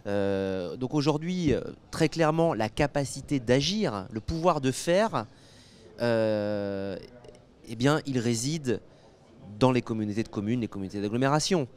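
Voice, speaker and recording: A man in his thirties with an accent from France, giving analytical interview answers as an academic expert in a measured, halting way with many pauses. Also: mid-range voice, lively intonation, a little mellow. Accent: French from France. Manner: hesitant, measured, with frequent pauses and repeated words. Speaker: guest expert, academic geographer. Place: indoor interview set at a convention. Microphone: handheld microphone.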